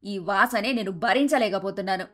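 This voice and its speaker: A woman playing an older, fussy mother-in-law in a Telugu animated moral story, with a dramatic, emphatic delivery. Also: mid-range voice, normal speed, close to an everyday voice. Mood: disgusted, complaining. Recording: music under the voice.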